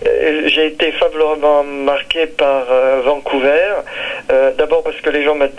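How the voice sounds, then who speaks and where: A man from Nice in southern France, a visiting research scientist, describing his trip haltingly with many pauses and fillers. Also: on the high side, flat delivery, narrow, tinny audio. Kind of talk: recorded interview testimony.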